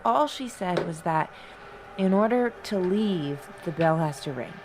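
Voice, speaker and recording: A woman's voice: on the low side, lively intonation, measured pace, background noise. A woman discussing choices casually and in character as a player in a tabletop role-playing game. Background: music.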